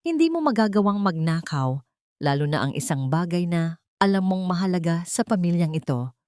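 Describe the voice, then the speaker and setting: A woman voicing a wealthy man pleading gently and calmly. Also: fairly low pitch, moderate pace, no echo, clean studio sound, natural voice.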